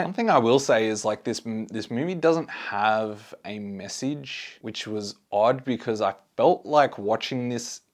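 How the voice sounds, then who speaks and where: A man hosting a casual movie review, speaking conversationally and giving his opinions to his viewers. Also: medium pitch. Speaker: host, film reviewer.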